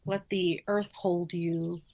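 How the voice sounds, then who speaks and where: A woman guiding a yoga nidra relaxation in a soothing manner, speaking in short phrases with long pauses. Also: lowish voice, little pitch movement, mellow, dull audio. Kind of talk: guided relaxation meditation, slow spoken instructions.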